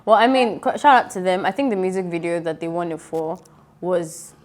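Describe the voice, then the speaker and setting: Bubbly young woman with a low voice. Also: fairly even intonation, brisk. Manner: chatty, bubbly, self-assured. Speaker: co-host. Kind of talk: casual entertainment chat. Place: TV studio set.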